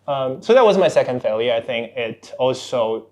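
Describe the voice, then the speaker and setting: A man's voice: medium pitch, expressive, normal speed, a little mellow. A man speaking casually as a guest in a podcast interview, often restarting his phrases. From born outside Singapore, Chinese cultural background. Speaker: podcast guest, tech executive.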